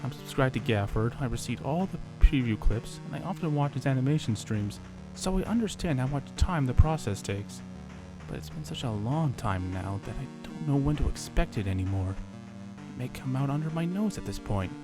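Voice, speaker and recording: A man with a medium-pitched voice performs a dramatic reading of a scripted story. He narrates in the first person with a theatrical storyteller's delivery. Music plays clearly beneath his voice. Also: lively intonation, normal speed, low-quality recording. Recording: music under the voice.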